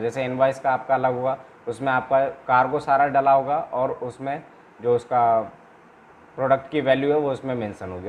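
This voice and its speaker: A man's voice: mid-range voice, phone-quality sound. Man explaining step by step, noisy background. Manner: repetitive explaining with frequent check-in tags. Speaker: trainer and channel host. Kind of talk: informal instructional video, explaining documents step by step. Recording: clear background noise, room ambience.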